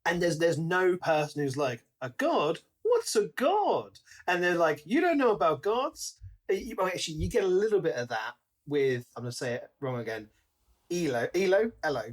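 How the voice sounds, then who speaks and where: Higher-pitched man discussing books casually. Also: sing-song, normal speed. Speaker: podcast co-host.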